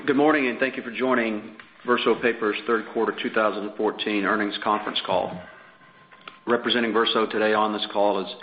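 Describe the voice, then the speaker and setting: A man's voice: lowish voice, slight background noise. A man speaking as a finance executive, giving a formal, businesslike scripted earnings presentation over a conference phone line. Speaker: chief financial officer presenting results. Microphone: conference call phone line.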